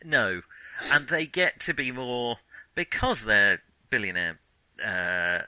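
Bright, crisp-edged man in casual film chat. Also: medium pitch, no echo, poor audio. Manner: halting, backtracking, thinking aloud. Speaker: podcast co-host.

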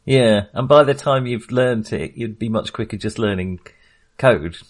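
A man co-hosting a film podcast in casual discussion, with a halting, hesitant and amused way of talking. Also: fairly low pitch.

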